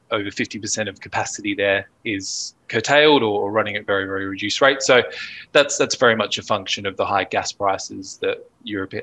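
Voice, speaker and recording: A man in his thirties with an Australian accent, speaking as a guest on a video call and explaining things in a relaxed, steady way. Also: lowish voice, poor audio. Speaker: podcast guest, fertilizer analyst. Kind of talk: casual interview talk. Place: home office on video call.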